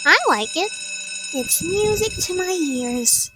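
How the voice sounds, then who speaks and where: A woman playing a dreamy, whimsical teenage schoolgirl with magic powers in a comic fandub, wistful and gentle. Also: extremely high pitch, lively intonation, takes it slowly, no room sound, soft background music, exaggerated voice, low-quality recording. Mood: dreamy, playful.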